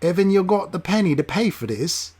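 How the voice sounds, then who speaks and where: A man's voice: sits high, lively intonation, a little fast, darkish tone, husky, voice pushed up. A man voicing a grubby, greedy male street driver who bullies a boy, in a coarse, jeering manner. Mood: menacing.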